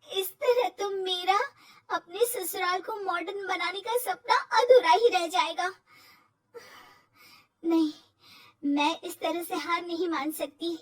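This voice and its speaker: A woman's voice: extremely high pitch, steady tone, slow, long pauses, pitched below the performer's own voice. A woman voicing a determined young daughter-in-law from the city in a cartoon story, explaining things politely and earnestly.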